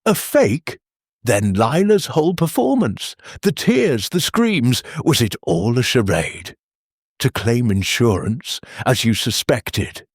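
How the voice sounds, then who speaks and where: A man's voice: mid-range voice, big pitch swings, slow, husky, dry recording, clean studio sound, heavily acted. A man voicing an anxious, wronged woman in a storybook mystery, earnest, questioning and easily shocked.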